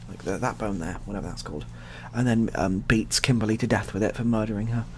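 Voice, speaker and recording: A man telling improvised stories in a soft-spoken ASMR style, with a rambling, repetitive, deadpan delivery. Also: fairly low pitch, fast. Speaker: ASMR storyteller. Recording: clearly audible background noise.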